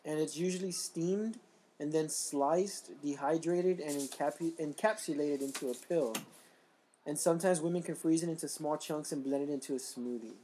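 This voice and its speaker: A man hosting a casual podcast, his voice a little raspy, joking and reading things aloud. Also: fairly high pitch, normal speed, mellow, a little hiss or hum, low-quality recording. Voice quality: slightly raspy. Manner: laughing, joking, reads aloud. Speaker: podcast host.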